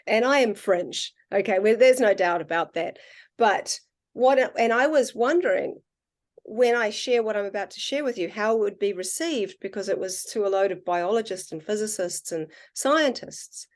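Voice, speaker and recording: A woman with a New Zealand accent talks as a podcast guest in an easy, conversational way. She sounds earnest and reflective, and she slows down on the points that matter to her. Her voice sits at an average pitch. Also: animated. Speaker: podcast guest, water researcher. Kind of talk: casual conversational interview.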